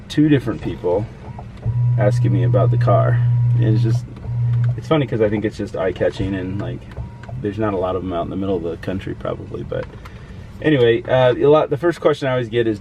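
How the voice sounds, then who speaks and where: A man in his twenties, raised mostly in Nebraska, explaining things in a relaxed way on a road-trip vlog from inside a car. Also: fairly low pitch, recorded from a distance, noisy background. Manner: easygoing, explaining as he goes. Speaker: vlogger and driver. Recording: loud background music.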